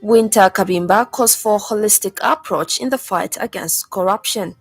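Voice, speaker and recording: A woman's voice: medium pitch, moderate pace, husky, dry recording, soft background music. Zambian woman reading radio news, close dry sound. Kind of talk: formal scripted news bulletin reading. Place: radio studio.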